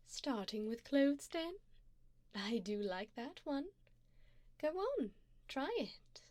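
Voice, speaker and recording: A woman voicing a wealthy, possessive vampire sugar mommy in an ASMR roleplay, soft and breathy with whispers, refined, coaxing and gently commanding, close on a binaural mic. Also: high voice, big pitch swings, slow pace, no echo, full character voice. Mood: coaxing, pleased. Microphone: binaural 3Dio microphone, close up.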